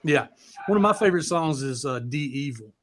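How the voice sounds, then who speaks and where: A man hosting a live music chat, talking casually and haltingly with loose swearing. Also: on the high side, lively intonation. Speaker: host of a live music talk show.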